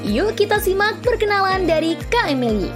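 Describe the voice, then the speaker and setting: A woman's voice: very high voice, sing-song, quick pace, music in the background. A woman host announcing a guest in an upbeat, scripted way.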